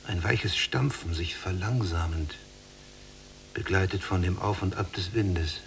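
A man's voice: low-pitched, measured pace, vocal fry, a little hiss or hum. A man acting in a literary radio drama as an observant, sceptical younger prisoner, speaking in dry, articulate phrases. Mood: fascinated, reflective.

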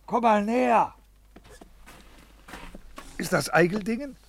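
An actor playing an anxious, irritable, self-important official in a 1950s radio play, muttering in clipped, peevish phrases. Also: male voice, high voice, slow, creaky, exaggerated voice. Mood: brusque, commanding. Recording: noisy vintage 1950s radio recording.

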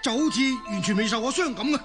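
Flustered Hong Kong doctor, rapid speech. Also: male voice, very high-pitched, music in the background. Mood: astonished. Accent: Hong Kong Cantonese. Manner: flustered, exclamatory. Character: astonished, experienced hospital doctor. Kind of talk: Cantonese radio drama acting.

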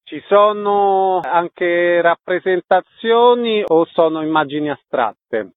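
A man hosts a radio interview, putting prepared questions and reading announcements. His voice sits fairly high for a man and sounds narrow and phone-like. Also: slow.

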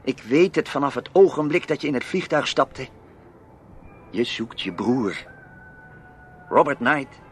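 A man's voice: mid-range voice, expressive, measured pace, pauses often, faint music behind, natural voice. An actor playing a self-assured, probing man in a radio play, dry and pointed in his questions. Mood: suspicious, probing. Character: Tim Valentine, probing, self-assured male acquaintance. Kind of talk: Dutch radio play acting. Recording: noisy old radio play recording.

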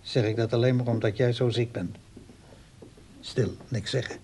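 An actor playing a lonely, brooding old widowed father in a radio play, with a slow, halting, musing way of talking. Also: medium pitch, steady tone, background noise, close to an everyday voice. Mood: musing, wistful. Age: older.

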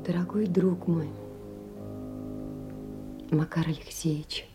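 An actress playing a poor, earnest young woman confiding in a dear friend, in a measured audio-play monologue. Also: medium pitch, lightly acted. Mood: subdued, confiding. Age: young adult.

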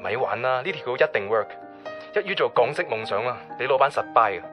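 Quick, eager young Hong Kong man, played by an actor. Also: mid-range voice, expressive, muffled recording, lightly acted. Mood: excited. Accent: Hong Kong Cantonese. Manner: enthusiastic, insistent. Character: eager, impulsive young man pitching dreams to his friend. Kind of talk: radio drama phone dialogue. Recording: music behind the voice.

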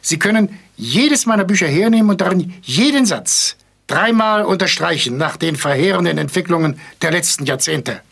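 An actor playing an elderly, hard-of-hearing author who talks in a pompous, rambling, absent-minded way in a radio play. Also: male voice, high voice, expressive, normal speed, vocal fry, fairly bright tone, husky, exaggerated voice. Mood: earnest, rambling. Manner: verbose, pedantic, absent-minded. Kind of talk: German radio play acting.

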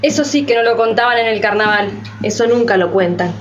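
A woman's voice: fairly high pitch, fairly quick, close to an everyday voice. A woman playing an indignant, sarcastic character in radio theatre, speaking emphatically in rhetorical questions. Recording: slightly dull, music underneath.

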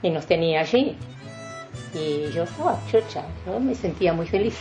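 An older Uruguayan woman speaks in a low voice, recalling her years as a radio announcer. She talks haltingly and rambles from one memory to another, with faint music behind her. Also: expressive. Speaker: veteran radio announcer being interviewed. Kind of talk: reminiscing in a radio interview.

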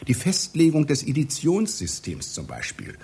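A man's voice: fairly high pitch, huge pitch swings, moderate pace, vocal fry, a little mellow, husky, exaggerated voice. An actor playing an obsessive, pedantic middle-aged male scholar in a satirical radio play, lecturing in a fussy, declamatory way. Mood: fussy, testing. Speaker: literary scholar with a doctorate.

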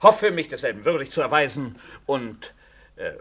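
An actor in a 1960s German radio play, playing an officious, scheming professor who talks in formal, procedural phrases. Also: male voice, medium pitch, sing-song, normal speed, breathy edge. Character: officious, scheming male professor, rival deputy director.

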